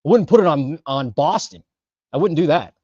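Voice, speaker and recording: A man hosting a casual livestream, talking through housing data with lots of fillers and quick self-corrections. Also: fairly high pitch, animated, dark tone, husky, no echo, muffled recording. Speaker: host of a housing market livestream.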